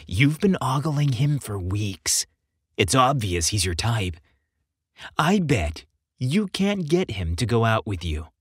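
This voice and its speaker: A man's voice: mid-range voice, sing-song, slow pace, breathy edge, no room sound, studio quality, full character voice. A man voicing a mischievous young male buddy in an audiobook, teasing and goading playfully. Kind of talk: audiobook character dialogue.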